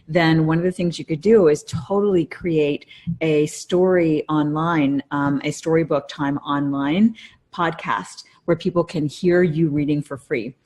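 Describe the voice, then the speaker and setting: A woman's voice: sits low, fairly even intonation, unhurried, darkish tone. A middle-aged woman co-hosting a podcast in casual conversation, explaining things earnestly. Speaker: podcast co-host.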